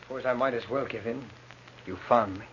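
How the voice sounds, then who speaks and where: A man's voice: medium pitch, fairly quick, a bit bright, slightly rough. A man playing a haunted, hot-tempered young nobleman in an old radio horror drama, theatrical and intense. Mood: weary, resigned. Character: haunted, raging young nobleman obsessed with his lost shadow. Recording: dull, noisy old radio recording.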